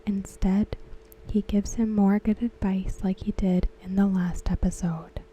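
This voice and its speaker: A woman whispering softly and unhurriedly in an ASMR style, talking about a TV episode. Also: mid-range voice, flat delivery, measured pace, slight background noise, low-quality recording. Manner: soft unvoiced whisper throughout. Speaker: ASMR creator discussing a TV episode.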